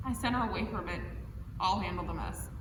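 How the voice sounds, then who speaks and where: A woman's voice: fairly low pitch, fast talker, natural voice. A young woman acting in a student stage play as an exasperated, guilt-ridden girlfriend who rants and swears. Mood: upset, weary. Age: young adult. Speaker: student actor. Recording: echoey hall, distant mic, noisy.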